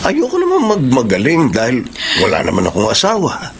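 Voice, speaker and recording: A caring uncle in a radio drama, with a mid-pitched voice that moves in lively swings. He counsels earnestly and practically. The track is clearly noisy. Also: fairly quick. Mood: earnest, advising. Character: caring bachelor uncle giving gentle, practical advice. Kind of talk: Tagalog radio drama acting.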